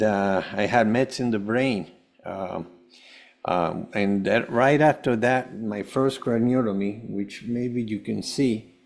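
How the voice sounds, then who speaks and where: A man with a fairly low voice speaks as an interview guest from Venezuela. He recounts personal experience haltingly, often doubling back and repeating words. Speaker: podcast guest, holistic health coach.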